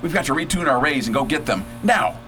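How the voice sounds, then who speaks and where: A man's voice: on the high side, lively intonation, fast, sharp tone, faint music behind. A man playing a breezy, decisive space adventurer who leads in slangy, commanding talk in a radio drama. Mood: urgent, commanding.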